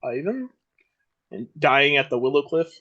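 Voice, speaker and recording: A man's voice: a little high, very animated, a little slow, fairly bright tone, lo-fi sound. A man co-hosting a casual livestream, telling rambling stories full of hesitations. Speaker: podcast co-host.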